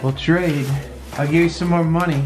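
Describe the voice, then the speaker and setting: A man's voice: on the high side, steady tone, moderate pace, background noise. A middle-aged man at his parents' house, upbeat and encouraging, playfully coaching a small child. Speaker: father and vlog co-host. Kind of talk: playful talk to a toddler. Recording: background music over the audio.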